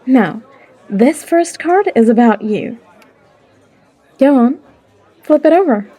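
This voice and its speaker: A woman acts out a tavern barkeep who tells fortunes in an audio roleplay. Her voice is fairly high and mellow, and she takes her time, warmly teasing and coaxing like an old confidante. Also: animated, slightly rough, some chatter behind, full character voice. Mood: cheerful, inviting. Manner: coaxing, unhurried, calls listener love. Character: Old Red, warm teasing female barkeep and fortune teller. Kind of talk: character audio roleplay acting.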